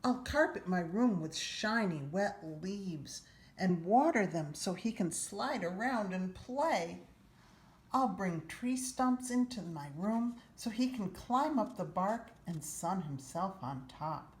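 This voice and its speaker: Storybook boy, played by a woman: young, earnest, dreamy enthusiast, unhurried delivery, roomy sound. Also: mid-range voice, animated. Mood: eager, earnest. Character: eager, imaginative young boy who loves nature. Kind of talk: children's storybook read-aloud. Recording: roomy echo, faint background noise.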